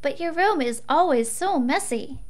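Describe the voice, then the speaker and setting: A woman's voice: high-pitched, animated, normal speed. A woman voicing a worried, nagging mother in a visual novel, chiding and concerned.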